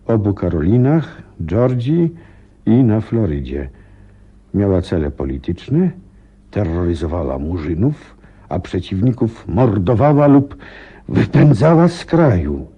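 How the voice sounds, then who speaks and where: An actor playing an earnest, curious male companion to a detective in a radio drama, measured when reading aloud. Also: sits low, mellow, breathy edge, heavily acted. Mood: reading, absorbed. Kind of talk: Polish radio drama acting. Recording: dull, muffled old radio recording.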